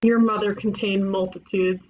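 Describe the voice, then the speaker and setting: A woman's voice: mid-range voice, level tone, normal speed, darkish tone, natural voice. Woman acting a brash detective, muffled lo-fi mic. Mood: deadpan. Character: brash, cocksure young woman detective, wisecracking sister. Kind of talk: animated comedy table read. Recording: dull lo-fi mic, faint noise.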